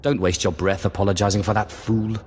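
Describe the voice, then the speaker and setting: An actor playing a cold, arrogant Sith lord in a video game, curt, sardonic and commanding. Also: male voice, lowish voice, flat delivery, a little fast, darkish tone, slight background noise, close to an everyday voice.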